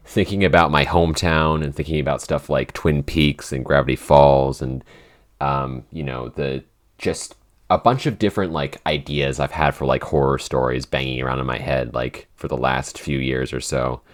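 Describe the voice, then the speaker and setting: A man in a casual unscripted podcast Q&A, talking in a halting, rambling way with lots of "like". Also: rumbling low. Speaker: podcast creator and co-host answering listener questions.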